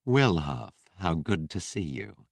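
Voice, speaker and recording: A man's voice: low voice, lively intonation, slow, creaky, dry recording, studio quality, full character voice. A man voicing a cold, haughty female admiral in an audiobook, composed and wry. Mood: cool, pleased.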